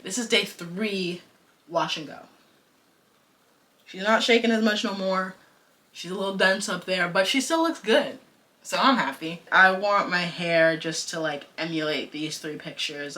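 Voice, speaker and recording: Young woman, bright clear tone, casual slangy vlog chatter. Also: fairly low pitch. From United States. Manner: chatty, animated, slangy and self-joking. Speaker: vlogger and podcaster.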